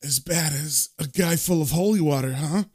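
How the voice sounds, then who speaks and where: Man as a cocky British occult trickster, sardonic, slangy, taunting, defiant, fairly high voice. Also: normal speed, a little mellow, dry recording, studio quality, lightly acted. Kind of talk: dramatic comic-book storytelling character voice.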